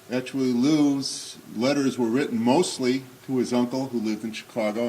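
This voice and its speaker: A man in his sixties with a booming voice, giving an unscripted talk at a remembrance service with many pauses and fillers, then reading memoir excerpts aloud. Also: darkish tone, slight background noise, rough recording. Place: synagogue hall. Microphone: speaking without a microphone.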